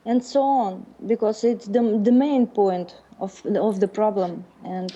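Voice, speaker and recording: A woman in a radio debate speaking haltingly, searching for words. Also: medium pitch, warm tone. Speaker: caller from Ukraine. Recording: dull lo-fi line.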